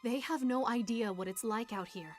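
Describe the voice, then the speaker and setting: The forceful, insistent young officer played by a woman pushing her troops onward in a war game cutscene, score behind. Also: fairly high pitch, normal speed, faint music behind, lightly acted. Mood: resolute. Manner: commanding, insistent. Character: headstrong, forceful young female army officer. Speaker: game voice actor. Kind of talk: dramatic game dialogue.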